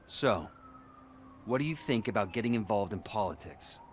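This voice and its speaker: An actor playing an ambitious politician in a video game, persuasive and ingratiating in a measured, rhetorical way. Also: male voice, on the low side, lively intonation, a little hiss or hum, natural voice.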